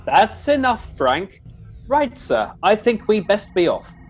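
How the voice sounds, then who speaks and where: A woman's voice: medium pitch, sing-song, slow pace, husky, dull audio, heavily acted. A woman playing a lilting, courteous officer. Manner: polite, gently organising. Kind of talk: comic radio play acting.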